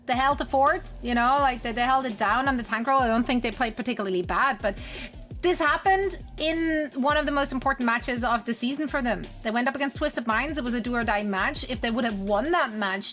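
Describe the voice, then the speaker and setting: A woman with a fairly high voice hosts an esports desk. She keeps the chat loose and playful, slipping in jokes between match talk. Faint music sits under her voice. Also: fairly quick, fairly bright tone. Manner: playful, joking, chatty.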